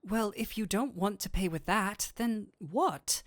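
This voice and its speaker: A man acts out a nerdy male incubus demon in an intimate audio roleplay. He speaks slowly and theatrically, teasing and coaxing with a kindly streak. The sound is dry, with no room around the voice. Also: high-pitched, fairly bright tone, no room sound. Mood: flustered. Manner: coaxing, playful, theatrical switches between seductive and flustered.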